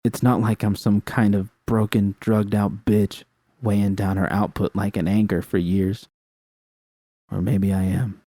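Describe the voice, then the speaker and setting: A hard-edged woman mercenary, blunt and quick to curse, with a low and mellow voice, as a male storyteller plays her. Her lines come out clipped and dry, close to the mic. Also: little pitch movement, no echo. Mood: angry. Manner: curt and swearing, quick. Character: tough, blunt woman mercenary, short-tempered.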